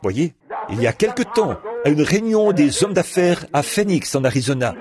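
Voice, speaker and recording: A man delivering a translated sermon in a lively preaching cadence, repeating words as he goes. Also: fairly high pitch, normal speed, a crowd in the background. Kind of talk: French reading of a translated sermon.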